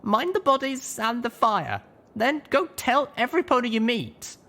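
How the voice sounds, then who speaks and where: Man voicing a furious, high-pitched avenging mare. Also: big pitch swings, normal speed, heavily acted. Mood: cold rage. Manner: raging shouts and hissed, growled threats. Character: furious young pony mare heroine, righteous and dangerous.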